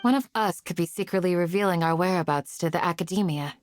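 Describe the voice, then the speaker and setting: A woman voicing a composed, shrewd, dryly teasing young woman in a video game, cool and deliberate. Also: lowish voice, faint music behind, natural voice. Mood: suspicious, wry. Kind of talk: video game character dialogue.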